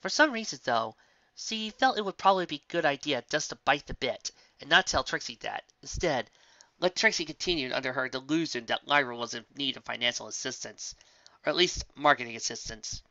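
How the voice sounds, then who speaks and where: A man reading a fan fiction story aloud in an expressive storybook narration. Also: mid-range voice, a little fast, no room sound, muffled recording.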